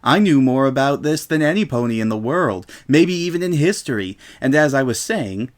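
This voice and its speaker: A man's voice: mid-range voice, lively intonation, normal speed, close to an everyday voice. A man voicing a brash, boastful young female pegasus pony in a story reading, with a casual, grumbling, self-important manner.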